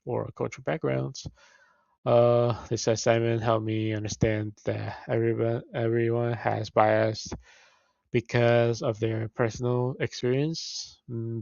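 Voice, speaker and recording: Halting man with a Mandarin Chinese accent. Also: lowish voice, gravelly, no echo, muffled recording. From China. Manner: halting, frequent restarts and fillers. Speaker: student. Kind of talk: reading a class presentation.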